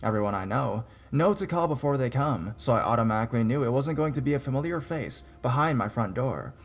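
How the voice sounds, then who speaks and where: A man's voice: medium pitch, lively intonation, fairly quick, faint music behind. A man narrating true horror stories sent in by readers, reading them aloud with wry, sarcastic asides.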